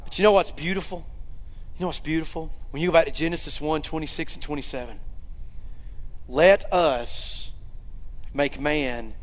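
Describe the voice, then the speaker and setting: Guy preaching in church, pretty high voice, pushing hard on the big words, lots of hall echo. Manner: preaching with rising emphasis and asides to the congregation. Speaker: pastor. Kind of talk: sermon. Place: church sanctuary. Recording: hall reverb.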